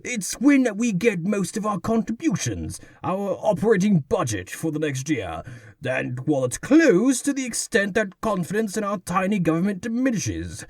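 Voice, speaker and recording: A pompous mayor acted by a man, with a highish voice that swings wildly up and down. The delivery is grandiose and condescending, like comic-book oratory, in a dry close recording. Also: big pitch swings, moderate pace, no room sound, full character voice. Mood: earnest, pressing. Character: pompous, theatrical male mayor of a tiny exiled community. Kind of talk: comic dub character acting.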